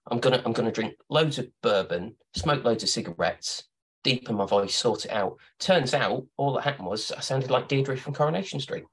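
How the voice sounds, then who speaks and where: A man's voice: medium pitch, lively intonation, normal speed, a bit bright, husky, poor audio. A man hosting a podcast, telling rambling, playful stories in casual chat. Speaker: podcast host.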